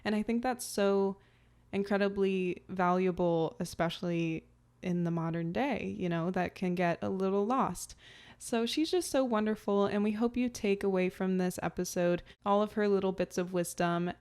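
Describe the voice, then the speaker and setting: A woman's voice: medium pitch, fairly even intonation, normal speed, a little mellow, clean tone. Enthusiastic woman host introducing an episode.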